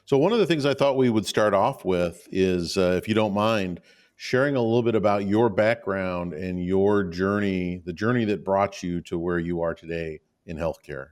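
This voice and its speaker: A man hosting a podcast, introducing his guest and asking questions in a measured, steady way. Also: deep voice. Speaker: podcast host.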